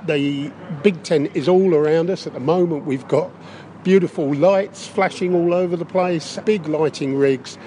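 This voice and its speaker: Male interviewee, enthusiastic, fairly high-pitched for a man, faint location noise behind. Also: moderate pace, warm tone. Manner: upbeat, enthusiastic. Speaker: interview guest. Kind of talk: unscripted interview answers. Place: inside a big top tent in a park.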